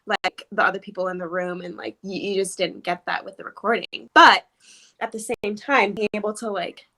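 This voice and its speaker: A woman's voice: medium pitch, fairly even intonation, fairly quick, vocal fry, bright, forceful tone, low-quality recording. A woman actor chatting casually and animatedly in an interview, with giggly, hesitant phrasing. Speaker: stage and voice actor. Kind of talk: casual chat, interview answers.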